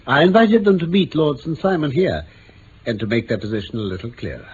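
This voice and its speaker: A man playing an astute, courteous English gentleman detective in vintage radio drama, British-accented, precise and theatrical as he explains. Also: a little high, expressive, brisk, close to an everyday voice. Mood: assured, explanatory. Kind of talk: 1950s-60s BBC radio drama acting. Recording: dull vintage radio recording, faint noise.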